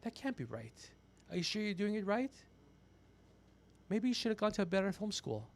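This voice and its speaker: A man hosting a podcast livestream in casual banter, teasing, swearing and excitable in manner. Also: high voice, moderate pace, gravelly. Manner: teasing, needling, profane. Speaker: podcast host.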